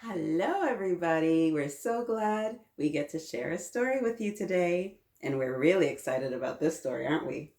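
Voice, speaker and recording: In a roomy, echoing space, a woman in her thirties leads story time for small children. Her voice is on the low side and swoops expressively as she reads and chats. She keeps encouraging the young listeners with questions. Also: animated, moderate pace, hardly any pauses, darkish tone. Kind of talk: read-aloud storytelling with children, chatting along. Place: small children's playroom, indoors. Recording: roomy echo, mic at a little distance.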